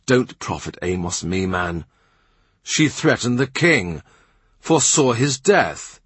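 A man's voice: fairly low pitch, very animated, slow pace, husky, dry recording, heavily acted. A man voicing a shrewd middle-aged royal minister who talks in a dry, cutting, sardonic way. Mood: cool, sardonic. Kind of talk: audiobook character reading.

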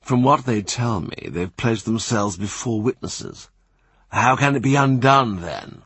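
A man plays a cold, smooth diplomat who picks each word with care and pauses with discretion. The voice is husky and close to the reader's own. The pitch rises and falls freely and the sound is dry. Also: expressive, fairly bright tone, no echo, heavily acted. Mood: discreet. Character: cool, smooth, evasive male diplomat.

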